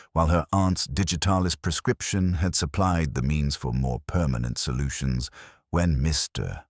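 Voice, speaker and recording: A man with an extremely deep voice narrates a mystery story. His delivery is formal, measured and precise, yet the pitch rises and falls in lively sweeps. The sound is close and dry. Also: no echo. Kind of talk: scripted audio mystery narration, formal literary.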